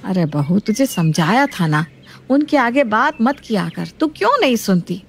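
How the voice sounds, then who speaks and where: A woman voicing a homely middle-aged mother-in-law who coaxes and gently scolds in a family story, with faint noise behind. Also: mid-range voice, sing-song, measured pace, vocal fry. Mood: gently scolding. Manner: coaxing, explanatory.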